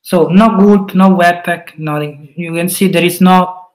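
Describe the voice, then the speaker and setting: A man with an Italian accent, a native Italian speaker, hosting a webinar and walking through a coding project, pausing often to think aloud. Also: high voice, fairly even intonation, rough recording. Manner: halting, thinks aloud with fillers. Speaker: webinar presenter. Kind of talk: live coding walkthrough.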